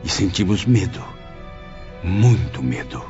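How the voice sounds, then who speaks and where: A man plays a troubled spirit telling his memories in an audiobook drama. The voice is fairly low and the phrasing literary and dramatic, with music behind a slightly muffled recording. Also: lively intonation, slow. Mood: troubled, recollecting. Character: anguished male spirit recounting his memories.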